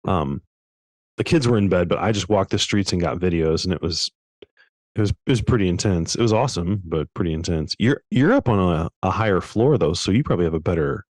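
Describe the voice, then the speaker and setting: Low-voiced man, dry close mic, excitable talker who restarts sentences, podcast co-host. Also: a little fast, dry recording. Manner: animated, stumbling restarts. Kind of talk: casual chat.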